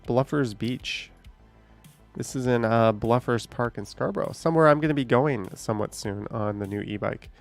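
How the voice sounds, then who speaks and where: A man's voice: medium pitch, moderate pace, gravelly, faint music behind. A man hosting a live stream, chatting casually, thinking aloud and swearing now and then.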